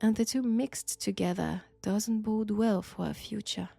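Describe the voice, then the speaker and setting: Woman playing a possessed female professor, French accent, ominous and flirtatious, creaky low-lit mellow tone, unhurried audio drama delivery. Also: fairly even intonation, no echo. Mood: grave, ominous. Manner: deliberate, teasing, ominous. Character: sinister, flirtatious female archaeology professor possessed by ancient god. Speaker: voice actor in audio roleplay. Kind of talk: slow, intimate roleplay acting.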